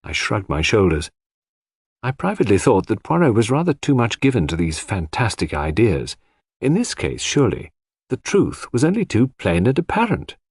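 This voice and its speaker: A male narrator reads a detective audiobook. His intonation is lively and varied, and the recording is close and dry. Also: on the low side, moderate pace, husky, no room sound.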